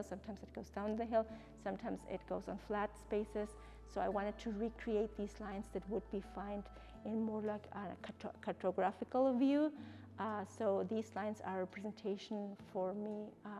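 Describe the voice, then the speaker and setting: A woman from Ecuador with an Ecuadorian Spanish accent, explaining her exhibition as an artist and professor in measured, hesitant phrasing. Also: medium pitch, mellow. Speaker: artist and art professor presenting own exhibition.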